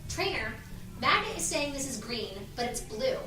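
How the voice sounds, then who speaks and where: Woman as young schoolgirl, bossy, taunting, sharp tone, audio drama read-through, roomy distant recording. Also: high-pitched, big pitch swings, normal speed, heavily acted. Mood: bossy, scornful. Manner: insistent, tattling. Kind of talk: cast table reading of audio drama.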